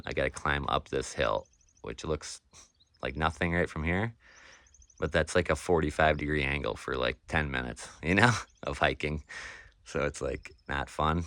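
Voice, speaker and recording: A man's voice: rumbling low, fairly bright tone, smooth, no room sound. A young man with a nasal voice vlogging casually about his off-grid cabin, rambling with lots of fillers and restarts. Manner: rambling, laid-back, many fillers and restarts. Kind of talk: casual talk to camera. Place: outdoors at an off-grid cabin property.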